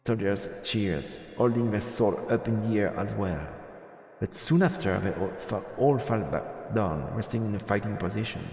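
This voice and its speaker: A man's voice: on the low side, roomy sound, long reverb tail. A man streaming a visual novel, reading the narration aloud as dramatic storytelling. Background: game music.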